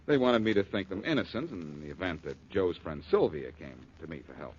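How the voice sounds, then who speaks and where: An actor with an American accent playing a confident, wry detective in a 1940s radio drama, assured and clipped. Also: male voice, deep voice, expressive, fairly quick, husky. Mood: wry, explaining. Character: confident male detective, cool, wry and unflappable. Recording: muffled vintage 1940s radio recording.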